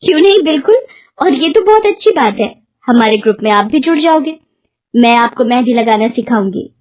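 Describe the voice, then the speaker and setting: A woman's voice: high-pitched, lively intonation, fairly quick, highs cut off. A woman voicing a caring, respectful young village henna artist in a story. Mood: cheerful.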